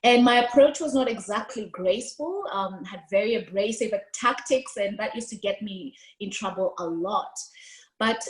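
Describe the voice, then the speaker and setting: Kenyan woman in her thirties, Swahili native, expressive guest storyteller on a roomy video call. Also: medium pitch, normal speed. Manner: expressive, gestures with hands while speaking. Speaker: guest speaker. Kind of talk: storytelling interview answer. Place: room at home, indoors.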